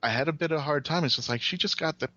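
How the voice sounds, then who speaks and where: A man's voice: fairly high pitch, racing, pushed and bright. A man talking informally as a podcast co-host interviewing a guest. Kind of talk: casual interview chat. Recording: dull lo-fi audio.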